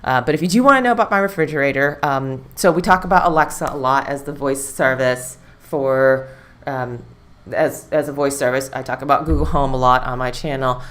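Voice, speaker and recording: A woman hosts a live stream in a loose, chatty way. Her voice sits low for a woman. She rambles and circles back with fillers as she talks. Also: brisk, fairly bright tone. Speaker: livestream host. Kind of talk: casual live-stream chat.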